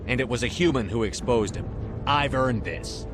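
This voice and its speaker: An actor playing a resolute, blunt human military commander in a game cutscene. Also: male voice, medium pitch, normal speed, lightly acted. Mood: insistent. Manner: blunt, earnest. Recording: loud music under the voice.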